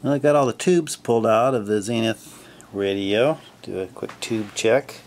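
A man casually narrating his radio restoration at a home workbench, relaxed and full of fillers as he thinks aloud. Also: fairly low pitch, normal speed. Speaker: hobbyist restoring a vintage radio.

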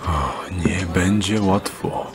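A man playing a wary, wry thief in a fantasy audio drama, muttering deliberately. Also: sits low, over music.

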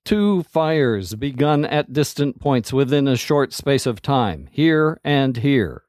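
A powerful ranger commander, read by a male narrator, gives orders in a firm, measured way. The voice sits mid-range and is recorded dry and close. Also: mid-range voice, animated, darkish tone, no echo. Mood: commanding. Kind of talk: storybook character voice.

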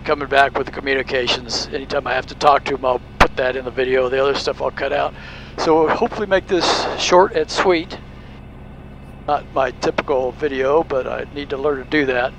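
A man's voice: medium pitch, brisk, low-quality recording. A man, a pilot, giving casual, explanatory cockpit commentary and making radio calls. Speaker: private pilot and video host. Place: small aircraft cockpit. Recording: steady aircraft engine noise.

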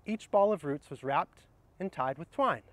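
A middle-aged man speaking outdoors, sharing picture books in a gentle, explanatory way and addressing viewers directly. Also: a little high, animated, moderate pace, dry recording. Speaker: horticulturist presenting children's books. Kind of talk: picture-book read-aloud and casual book recommendations. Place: outdoors under trees in an arboretum garden.

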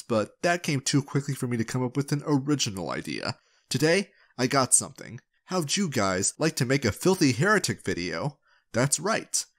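A man hosting his video channel, reading scripted narration to viewers in a playful, direct way. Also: medium pitch. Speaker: video creator and channel host.

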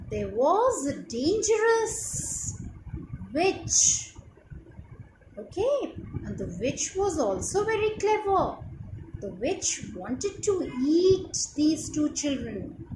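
A young woman with an Indian accent tells a moral tale to children in a high, very lively voice. She takes it slowly and keeps asking questions. It was recorded in an echoey room at home. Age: young adult. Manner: expressive and didactic, often asking questions to child listeners. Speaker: storyteller for children. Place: small room at home, indoors. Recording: roomy echo with clear background noise.

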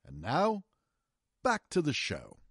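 A man's voice: fairly high pitch, huge pitch swings, takes it slowly, fairly bright tone, slightly rough, dry recording, heavily acted. Man playing a showy, swooping old-time radio announcer. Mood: formal, appealing.

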